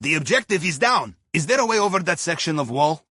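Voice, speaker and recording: A man's voice: on the high side, sing-song, a little fast, sharp tone, no room sound, clean studio sound, full character voice. A man playing a jovial, wry Russian submarine captain in a video game, with a Russian accent and a playful, theatrical way of guiding his crew. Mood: wry, instructive. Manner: playful, theatrical, drops articles, tags sentences with questioning "yes?" or "huh?". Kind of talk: video game mission dialogue over comms.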